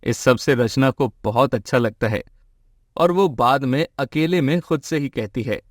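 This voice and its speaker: A man's voice: mid-range voice, unhurried, no room sound. Male storyteller, dry studio sound. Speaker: narrator. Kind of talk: scripted storytelling narration.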